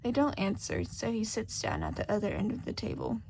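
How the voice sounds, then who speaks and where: A woman's voice: mid-range voice, wildly swooping pitch, brisk, darkish tone, slight background noise. A woman reading a scripted fiction story aloud as its narrator. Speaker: podfic narrator.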